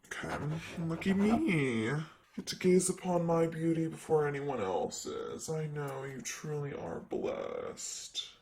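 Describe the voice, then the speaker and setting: Slow-talking sassy male demon secretary, acted by a man. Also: fairly high pitch, expressive, a little mellow, a little hiss or hum. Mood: sassy, teasing. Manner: teasing, casual, drawling asides. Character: sassy, vain, flirty ancient male-ish demon secretary. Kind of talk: improvised ASMR roleplay.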